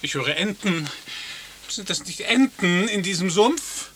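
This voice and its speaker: An actor playing a squeamish gentleman complaining peevishly and with exaggeration in a German radio play. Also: high voice, lively intonation, measured pace, slight background noise. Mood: disgusted, irritated. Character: Balduin, squeamish, complaining city gentleman out in mud.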